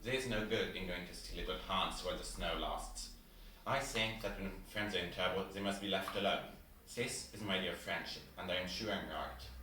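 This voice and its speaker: A man's voice: sits low, normal speed, sharp tone, a little hiss or hum, poor audio. A man playing pompous, selfish, self-satisfied storybook characters, a rich miller and a water rat, in an acted fairy-tale reading. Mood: self-righteous. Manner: pompous, emphatic.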